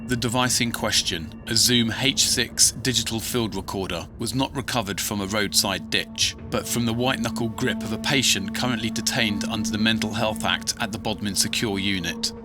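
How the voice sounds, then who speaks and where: A man playing a grave investigator, reading an evidence log in a formal, measured documentary style. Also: on the low side, over music, natural voice. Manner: formal, measured, matter-of-fact. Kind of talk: scripted documentary narration.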